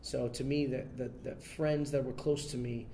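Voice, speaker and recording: A man answers interview questions in a loose, relaxed way, stopping and restarting his sentences and leaning on fillers. His voice sits at an ordinary pitch. A faint low rumble runs under the recording. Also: normal speed. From United States. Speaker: interview guest, son of a famous bandleader. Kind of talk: casual interview.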